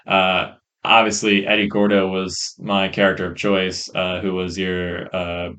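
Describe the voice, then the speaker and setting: A man co-hosting a podcast, chatting casually in a rambling, hesitant way, on a rough-sounding faulty microphone. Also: deep voice, completely flat delivery, a little slow, a bit bright. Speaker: podcast co-host. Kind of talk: casual chat.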